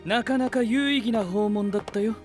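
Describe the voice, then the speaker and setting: Man acting a high-voiced, formal intellectual knight. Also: normal speed, soft background music, natural voice. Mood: composed. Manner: precise, stiff, businesslike. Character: formal, intellectual, composed young male knight. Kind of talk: anime voice drama acting.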